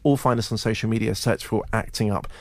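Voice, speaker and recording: A man from Scotland co-hosting a theatre podcast, chatting briskly and plugging the show. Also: lowish voice, fairly even intonation, dry recording. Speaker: podcast co-host. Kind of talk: casual theatre chat.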